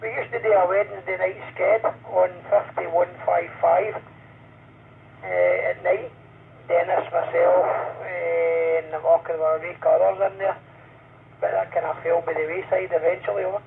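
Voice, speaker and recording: Over a narrowband radio link with faint noise comes a Scottish man chatting casually. His voice is fairly high and gravelly, like a ham operator passing the time on air. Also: level tone, normal speed. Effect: heard through a two-way radio. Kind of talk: casual two-way radio chat.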